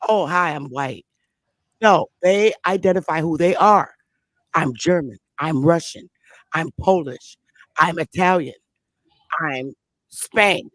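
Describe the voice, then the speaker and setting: A woman's voice: fairly low pitch, no room sound. A woman hosting a casual online group discussion, who talks emphatically and repeats her points for stress. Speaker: host.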